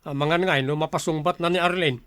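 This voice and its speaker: A man's voice: a little high, fairly even intonation, fast talker, fairly bright tone, smooth, dry recording, studio quality, natural voice. A man with an Ilocano accent acting a stern, blustery older brother who turns smitten suitor in a radio drama. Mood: scolding. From Philippines.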